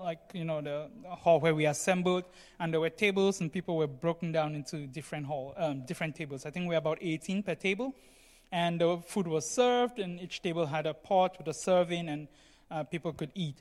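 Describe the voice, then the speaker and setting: High-voiced man preaching in echoey hall. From Cameroon. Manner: explanatory, frequently checks in with "right?" and "you know". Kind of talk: sermon, conversational teaching. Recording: long hall reverb.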